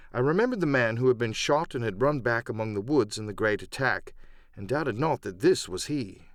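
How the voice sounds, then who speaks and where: A man reading dramatic first-person adventure narration for an audiobook, measured and literary. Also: mid-range voice, dry recording, studio quality.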